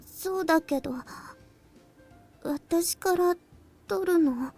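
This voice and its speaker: A woman voicing a shy, curt teenage schoolgirl in a Japanese anime visual novel, speaking softly and hesitantly. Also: extremely high pitch, a little slow, faint music behind. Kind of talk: Japanese anime game voice acting.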